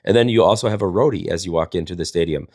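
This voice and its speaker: A man's voice: low-pitched, very fast, a little mellow, no echo, studio quality. A man, telling casual comedic stories in a rambling, folksy, jokey way as a livestream host. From Wisconsin, United States.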